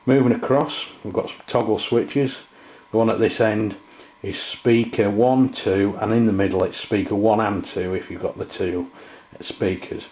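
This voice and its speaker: A middle-aged man giving an informal, halting, unscripted product review in a room at home. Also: fairly low pitch, steady tone, darkish tone. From England. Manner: hesitant, halting, unscripted delivery. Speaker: presenter, radio hobbyist. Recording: muffled, roomy camera sound.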